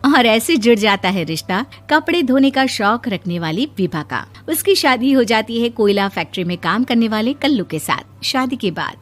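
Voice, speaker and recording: A woman narrating a cartoon story in a lively storytelling manner. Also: a little high, normal speed, few pauses.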